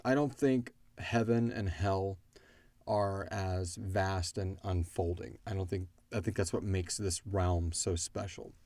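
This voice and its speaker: Male podcast host, low voice, informal and tangent-prone, thinking aloud in a dry, close-sounding recording. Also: fairly even intonation, takes it slowly, darkish tone, no room sound. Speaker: podcast host and audio drama creator. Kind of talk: casual question-and-answer chat.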